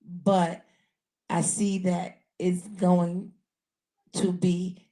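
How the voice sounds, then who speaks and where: A woman with a raspy voice hosting a casual live podcast, talking earnestly and repeating herself often. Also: fairly low pitch, flat delivery, drawn-out words, mellow. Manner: repetitive, earnest, many restarts. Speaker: podcast host. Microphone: handheld mic.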